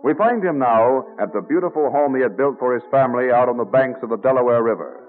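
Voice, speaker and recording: Old radio narrator guy, mid pitch, grand and formal, tinny sound, faint music behind. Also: quick pace. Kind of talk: scripted 1940s-style radio drama narration. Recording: narrow-band vintage radio recording.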